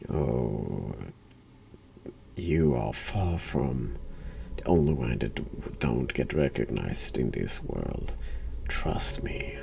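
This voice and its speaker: A man streaming a horror game, giving casual reaction commentary in a halting, dry deadpan way. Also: a bass voice, vocal fry, a little hiss or hum.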